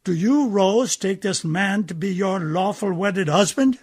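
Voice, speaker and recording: Man acting stern captain, high-pitched and commanding. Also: lively intonation, a little slow, no room sound, clean studio sound. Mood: officious. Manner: curt, authoritative, barking orders. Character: stern, exasperated German ship captain, officious and commanding. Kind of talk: radio play acting.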